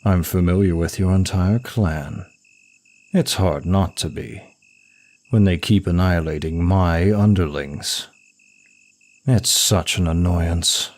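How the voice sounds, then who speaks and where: A demon prince of lust played by a man speaks in a low voice, taking his time over each phrase. He is suave, smug and taunting, an arrogant seducer in an intimate audio roleplay. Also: slow. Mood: smug, probing. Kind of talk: intimate ASMR roleplay monologue.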